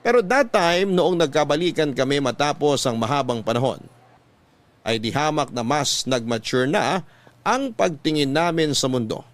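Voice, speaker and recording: A man's voice: a little high, animated, moderate pace, natural voice. A man narrating as an earnest letter writer recounting his love life, in scripted, sentimental radio storytelling style. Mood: sentimental, reflective.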